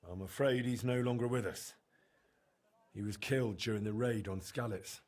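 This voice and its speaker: An actor playing an earnest, polite young blacksmith's son with a husky voice in a video game. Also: male voice, medium pitch, steady tone, normal speed, darkish tone, no room sound, close to an everyday voice. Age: young adult. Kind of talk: video game dialogue acting.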